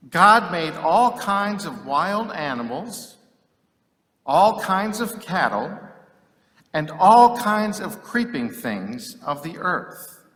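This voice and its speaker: A man reading scripture solemnly and dramatically, with deliberate, declamatory phrasing and long pauses. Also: sits high, sharp tone. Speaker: scripture reader. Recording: echoey hall with long reverb.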